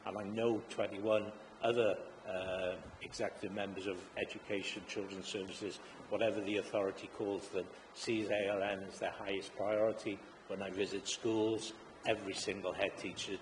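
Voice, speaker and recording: A man speaking as a councillor in a council chamber, earnest and full of hesitant uhs. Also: fairly low pitch. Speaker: councillor, executive member for education. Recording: long chamber reverb, faint hum.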